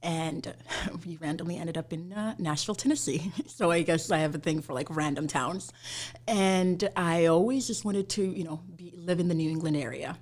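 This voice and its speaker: A woman from Somalia chats as a podcast guest. Her voice sits low for a woman, and she leans on "you know" and laughs easily. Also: moderate pace, darkish tone. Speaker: guest, immigration attorney. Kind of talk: casual interview chat.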